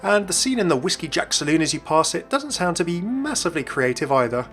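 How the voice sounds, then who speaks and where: A man's voice: a little high, big pitch swings, fast, fairly bright tone, faint music behind. A young man hosting a gaming video with scripted narration. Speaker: YouTube gaming host.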